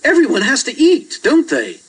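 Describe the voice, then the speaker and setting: A man's voice: very high-pitched, huge pitch swings, normal speed, a bit bright, slightly rough, pitched above the performer's own voice, heavily acted. A man voicing an eager, food-loving young boy in a children's storybook read-aloud. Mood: cheerful.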